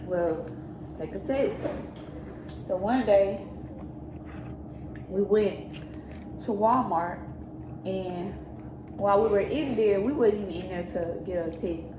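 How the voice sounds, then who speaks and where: A young woman with a medium-pitched voice recounts her own story conversationally, quoting back what was said. She is in an echoey room with noticeable noise. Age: young adult. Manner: conversational, quotes remembered exchanges. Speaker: daughter co-hosting a storytime vlog. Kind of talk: casual personal storytelling.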